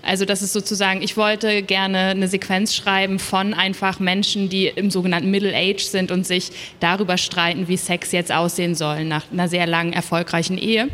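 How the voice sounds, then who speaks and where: A woman's voice: on the low side, flat delivery, a little fast, few pauses, a bit bright. A woman author speaking casually on a discussion panel, with long hall reverberation.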